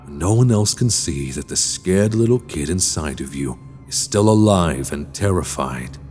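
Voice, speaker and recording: A man playing a sinister horror-story host in an audio drama, narrating in a slow, deliberate, theatrical and menacing way. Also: a bass voice, a little mellow. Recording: long reverb, music underneath.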